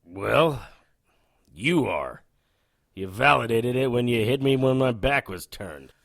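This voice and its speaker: An actor playing a gruff, wry, hard-drinking brawler who tells his own story in a hard-boiled audio drama. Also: medium pitch, animated, slow, fairly bright tone, heavily acted. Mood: taunting. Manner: sardonic, terse.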